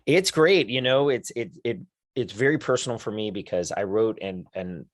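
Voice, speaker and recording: Low-voiced man, dry close sound. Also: animated, no echo. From United States. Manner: enthusiastic, talks in quick lists with restarts. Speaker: guest, career coach for lawyers. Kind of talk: conversational podcast interview.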